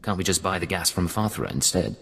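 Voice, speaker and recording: A deep-voiced, cold male operative in a game cut-scene, answering in short, deadpan lines. His voice carries a long reverb. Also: a little fast, vocal fry, a little hiss or hum, full character voice. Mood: curt. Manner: curt, deadpan. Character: cold, terse male pirate agent. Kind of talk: video game voice acting.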